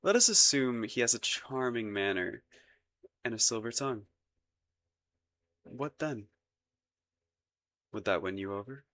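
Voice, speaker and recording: A man's voice: mid-range voice, animated, unhurried, long pauses, a bit bright. A man acting a shy, lovestruck young crown prince in a romantic roleplay audio, hesitant and stammering, with formal courtly phrasing. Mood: coyly defensive.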